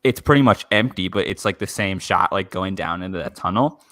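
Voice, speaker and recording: A man's voice: low voice, fairly quick, fairly bright tone, no echo. A man co-hosting a podcast in casual fan conversation. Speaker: podcast co-host.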